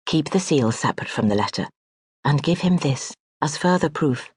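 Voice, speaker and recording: An audiobook narrator voicing a proud, imperious noblewoman who speaks crisply and gives sharp commands. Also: mid-range voice, expressive, normal speed, no echo, clean studio sound. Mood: commanding.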